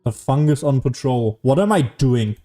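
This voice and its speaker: Mellow, casual game streamer. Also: mid-range voice, expressive, normal speed. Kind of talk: casual gaming stream commentary. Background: game music.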